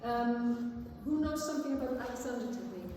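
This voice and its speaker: A woman from Iceland, a music teacher explaining things to a conference audience in an informal, halting way. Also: on the high side, animated, crowd noise. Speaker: music teacher and viola player presenting. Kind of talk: conference talk with audience questions. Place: large indoor conference hall. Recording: echoey hall from far off, faint noise.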